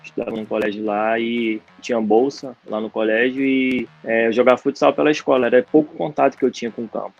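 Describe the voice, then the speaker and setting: A man's voice: medium pitch, steady tone, a little fast, creaky, few pauses, soft background music. Easygoing young Brazilian man, lo-fi. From Brazil, Brasília. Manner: easygoing, slangy. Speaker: guest footballer. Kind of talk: casual interview chat. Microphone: video call.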